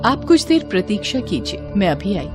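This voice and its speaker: A woman's voice: mid-range voice, very animated, fairly quick, darkish tone, faint music behind, natural voice. A woman playing a devout, gracious and dutiful sage's wife in a devotional story, speaking politely and earnestly with reverence. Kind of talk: devotional Hindi story dramatization.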